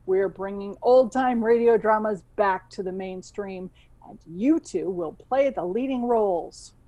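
An actress playing an earnest, persuasive radio station boss in an audio comedy. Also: female voice, mid-range voice, a little slow, warm tone, no echo, muffled recording, lightly acted. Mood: determined. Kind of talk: comedy audio drama acting.

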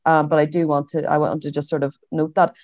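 Woman, Northern Irish accent from Derry, lowish voice, reflective and hesitant, panel discussion, dull online audio. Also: little pitch movement, quick pace, darkish tone, no echo. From Derry, Northern Ireland. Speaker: historian, panellist.

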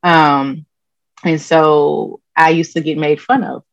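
A woman therapist with a low-register, soothing voice, answering interview questions calmly and openly. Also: takes it slowly, vocal fry, no echo. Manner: calm, open, laughs often. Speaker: therapist guest. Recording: dull lo-fi dry sound.

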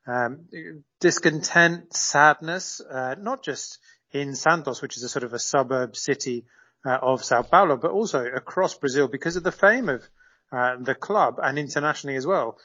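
A man's voice: mid-range voice, moderate pace, pushed and bright, dull audio. A man serving as a correspondent in a live radio interview, explaining at length with frequent fillers and restarts.

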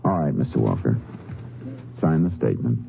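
An actor playing a steady, shrewd Texas Ranger in a 1950s radio drama, speaking and narrating in a terse, businesslike deadpan. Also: male voice, very deep voice, moderate pace, vocal fry, heavily acted. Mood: firm, probing. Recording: muffled old radio recording with music.

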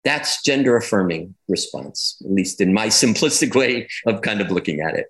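A man speaking as a guest in an interview, explaining his views in a reflective, conversational way. He grows more animated as he builds his points. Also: lowish voice, normal speed. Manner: reflective, with repeated phrases and restarts. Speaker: guest, clinician and educator on gender.

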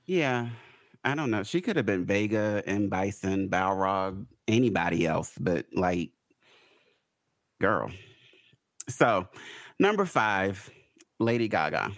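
Animated rambling man chatting pop culture. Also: deep voice, no room sound, studio quality. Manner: animated, rambling, quick to rant. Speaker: podcast co-host. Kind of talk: casual pop culture chat.

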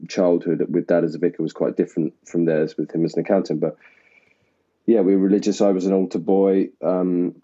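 A man from Essex, an actor, talking at length and laughing at himself in a relaxed interview. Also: deep voice, a little fast. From England (Essex). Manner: rambling, self-deprecating, laughs often. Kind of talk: casual interview conversation. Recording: dull lo-fi sound.

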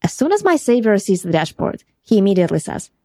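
A woman's voice: medium pitch, sing-song, fast talker, warm tone, no room sound, studio quality. A woman from Ukraine with a Ukrainian accent, hosting a podcast and earnestly telling a first-person travel story. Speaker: podcast host.

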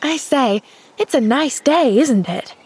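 Storybook girl, acted by a woman: young, friendly, chatty and eager, raised pitch. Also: animated, slow, slightly rough, heavily acted. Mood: cheerful, eager. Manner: bright, eager, chirpy. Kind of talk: storybook character voice.

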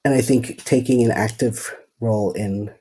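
A man's voice: mid-range voice, fairly even intonation, normal speed, mellow, breathy edge, low-quality recording. A man from the Netherlands, a seminary student, answering interview questions thoughtfully and hesitantly with many fillers.

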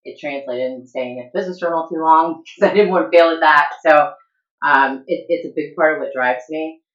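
Low-pitched woman guest, candid, lots of ums, echoey dull recording. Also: brisk, fairly bright tone. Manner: candid, laughs often, many "um" restarts. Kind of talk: casual interview talk. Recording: echoey room, dull lo-fi sound.

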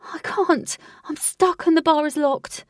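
A woman's voice: sits high, steady tone, brisk, bright, forceful tone, breathy edge, no echo, studio quality, recorded from a distance, pitched above the performer's own voice, exaggerated voice. Woman playing a stern, proud fighting man, terse. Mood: urgent. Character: stern, proud, combative man, stubborn under pressure.

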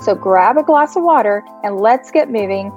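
A woman's voice: on the high side, lively intonation, normal speed. A woman reading a scripted podcast intro in an upbeat, polished way. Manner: smooth, upbeat, read from script. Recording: dull lo-fi with background music.

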